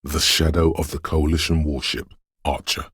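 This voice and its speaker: Game narrator, an omniscient, brooding inner voice; bottomless bass register, close dry sound, gravelly, slow and solemn. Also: male voice, flat delivery, fairly bright tone, no room sound, heavily acted. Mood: solemn, haunting. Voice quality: very deep, gravelly husky. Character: brooding, all-seeing inner voice sensing a wintry city.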